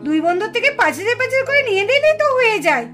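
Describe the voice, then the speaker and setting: A woman's voice: extremely high pitch, sing-song, very fast. A woman playing a stubborn, teasing young woman in a puppet show, with insistent, emphatic delivery. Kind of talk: animated puppet-show dialogue. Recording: music behind the voice. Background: music.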